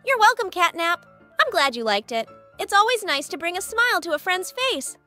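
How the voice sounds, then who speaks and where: A woman's voice: very high-pitched, big pitch swings, moderate pace, bright, forceful tone, faint music behind, exaggerated voice. A woman voicing a moody purple cartoon cat in a comic dub, theatrical and swinging between sweet and snappish. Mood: delighted. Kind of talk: animated comic dub acting.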